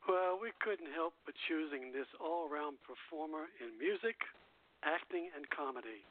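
A man's voice: on the high side, normal speed. A man hosting a radio show, reading semi-scripted narration and now and then stumbling over names. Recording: tinny phone-line internet radio, music behind.

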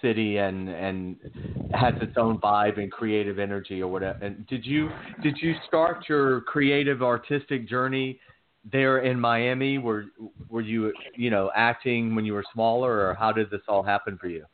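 Chatty low-voiced man on a phone line. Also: fairly even intonation, moderate pace, slight background noise. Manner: chatty, rambling questions. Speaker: co-host. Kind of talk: casual talk show chat.

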